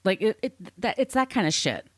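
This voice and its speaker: A woman's voice: medium pitch, big pitch swings, normal speed, no room sound, clean studio sound. An American woman hosting a podcast, telling a story from notes conversationally, with informal, wry asides. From United States. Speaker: podcast host.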